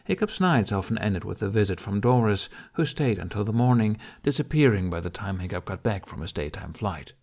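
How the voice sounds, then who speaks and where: Low-voiced man narrating a story. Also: lively intonation, fairly quick, vocal fry, hardly any pauses, a little mellow. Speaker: audiobook narrator.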